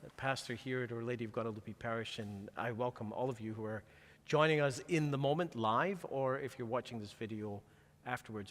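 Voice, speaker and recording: A man speaking as a pastor who hosts a live conversational interview, with a halting delivery that often rephrases itself. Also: mid-range voice, a bit bright. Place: church, before the altar. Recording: long echoey reverb of a large hall.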